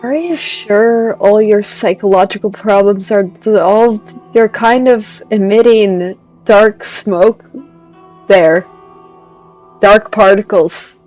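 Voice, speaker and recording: A woman's voice: medium pitch, steady tone, slow, a little mellow, rough recording. A woman streamer giving casual, musing commentary as she plays through a video game. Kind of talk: casual live playthrough commentary. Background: game music.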